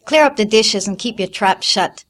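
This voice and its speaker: Audiobook figure: a coarse, snapping mother of a big brood, loud and curt, read by a woman. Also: medium pitch, measured pace, natural voice. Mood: brusque. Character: blunt harsh mother of twelve, middle-aged.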